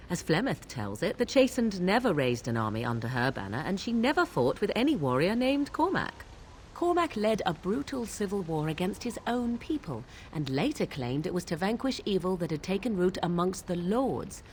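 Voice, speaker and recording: An actress playing an aloof, sardonic young witch of the wilds in a fantasy video game, with formal archaic diction and a deliberate, theatrical delivery. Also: on the low side, a little hiss or hum.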